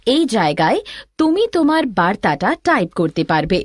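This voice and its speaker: A woman's voice: medium pitch, sing-song, normal speed, dry recording, clean studio sound. A woman giving scripted instructional narration, explaining things step by step as a tutorial instructor.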